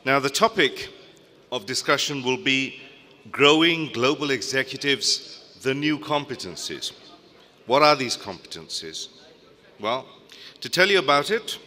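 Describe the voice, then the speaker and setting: Bright-voiced man emceeing in a big hall. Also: medium pitch. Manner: announcing, reads out credentials. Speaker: event emcee. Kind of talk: formal introduction. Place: large conference hall. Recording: reverberant hall.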